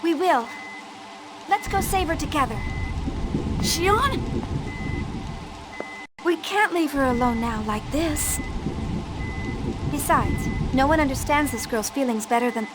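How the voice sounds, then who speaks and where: A woman's voice: high voice, slow pace, pauses often. An actress playing an earnest, protective young woman in a video game. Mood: reassuring, protective. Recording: loud music over the dialogue.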